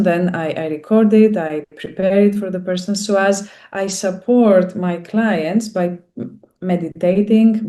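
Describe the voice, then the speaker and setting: A woman's voice: on the low side, warm tone. A woman from Greece with a Greek accent, a coach explaining her practice conversationally. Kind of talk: conversational testimonial.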